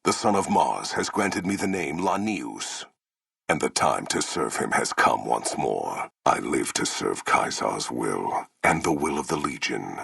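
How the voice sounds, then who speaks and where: An actor playing a merciless, imperious warlord in a video game, with a gravelly, husky voice and grandiose, menacing, formal speech. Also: male voice, a bass voice, expressive, a little slow, fairly bright tone, dry recording, studio quality, full character voice. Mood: grim, imperious. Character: merciless, imperious warlord commanding a slave army. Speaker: army commander. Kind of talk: theatrical video game villain dialogue.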